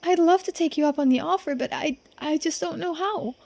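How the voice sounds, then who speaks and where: Woman doing a very high, earnest young woman. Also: a little fast, vocal fry, a little mellow. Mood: puzzled. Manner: earnest, hesitant, pleading. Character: anxious, earnest young island woman, sweet and stubborn. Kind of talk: visual novel character voicing.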